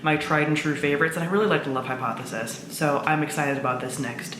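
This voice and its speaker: A woman's voice: sits low, quick pace. A woman talking casually and enthusiastically to camera as a book vlogger, in an informal, chatty way. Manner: enthusiastic, informal with swearing and frequent "like". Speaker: book vlogger, presenter of own channel. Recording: roomy echo, faint background noise.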